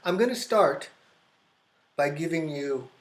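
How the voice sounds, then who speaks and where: An American man teaching an English grammar lesson, explaining slowly and repeating key phrases, often restarting his sentences. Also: a little high, animated. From United States. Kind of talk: classroom grammar lesson at a whiteboard. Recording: echoey classroom.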